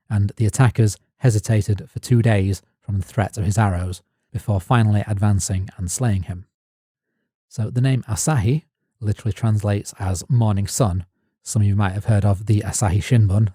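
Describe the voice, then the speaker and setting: Man, low dark voice, a little husky, dry close mic, steady narration from notes. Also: no room sound. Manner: reads prepared notes in even, measured narration. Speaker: podcast co-host. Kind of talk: scripted narration.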